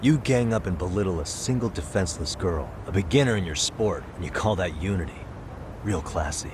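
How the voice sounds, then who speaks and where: Cool, low-voiced sardonic detective. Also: male voice, expressive, a little fast, background noise. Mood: stern. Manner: confident, needling, stern. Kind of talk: video game voice acting.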